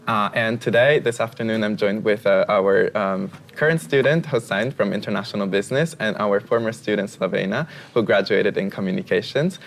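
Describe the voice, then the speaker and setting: Young Italian man hosting, low voice. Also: a little fast, few pauses, a little hiss or hum. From Italy. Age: young adult. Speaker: talk show host, former student. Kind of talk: hosting a panel talk.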